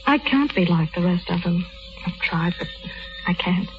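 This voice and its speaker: An actress playing a plain-spoken, lovelorn duchess of common birth, halting and emotional, in 1930s radio style. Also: female voice, fairly low pitch, animated, background noise. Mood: anxious, wistful. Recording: muffled 1930s radio broadcast.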